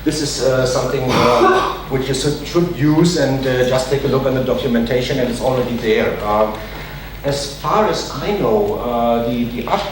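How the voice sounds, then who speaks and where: A man's voice: medium pitch, moderate pace. A man who is not a native English speaker gives a conference talk and takes audience questions, hesitant with many fillers and restarts. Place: large conference hall. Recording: echoey hall, distant mic, noisy.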